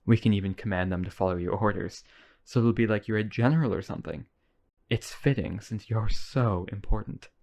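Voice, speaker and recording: A man's voice: lowish voice, fairly quick, vocal fry, a little mellow. A man playing a lonely, obsessive, wealthy vampire brother in a boyfriend ASMR roleplay, coaxing and polite. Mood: eager, coaxing.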